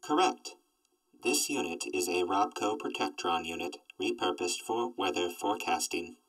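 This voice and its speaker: An actor playing a gloomy robot that longs for death, deadpan and stiffly formal through a robot filter, in a comedy audio drama. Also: male voice, low-pitched, moderate pace, low-quality recording. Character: gloomy, death-wishing weather-forecasting robot.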